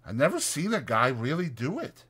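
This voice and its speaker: A man voicing a bitter, proud, cynical stable hand with blunt, rough rural speech for a dramatized audiobook. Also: on the high side, huge pitch swings, normal speed, a bit bright, pitched above the performer's own voice, full character voice. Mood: hesitant, wistful.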